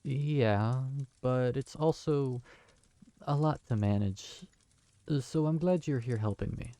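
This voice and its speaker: Guy playing a polite young tavern owner, a bit flustered, warm low-key voice, dry close mic. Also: slow pace, dry recording, natural voice. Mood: earnest. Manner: polite, earnest, slightly flustered. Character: young man who just inherited a magical tavern. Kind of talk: fantasy audio drama acting.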